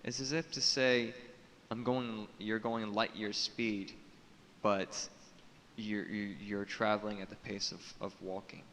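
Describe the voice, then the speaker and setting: A man giving an unscripted spiritual monologue to camera as a video blogger, speaking haltingly and solemnly in cryptic phrases, repeating words for emphasis. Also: fairly low pitch, flat delivery, fairly bright tone. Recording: echoey reverberant room with audible hiss.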